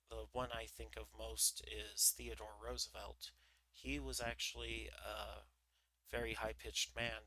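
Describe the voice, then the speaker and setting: A man's voice: creaky, bright, forceful tone, dry recording, low-quality recording. A man who streams book readings, chatting casually in a rambling, self-deprecating way full of fillers. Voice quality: naturally higher voice than he looks. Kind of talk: casual chat during an ad break.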